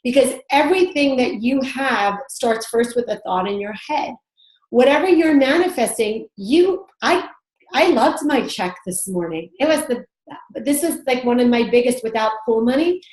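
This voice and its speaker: A hoarse woman recovering from strep throat, giving emphatic, self-assured motivational talk about network marketing on a conference call. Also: on the high side, animated, moderate pace, a little mellow, echoey room, poor audio. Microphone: phone or computer on a conference call.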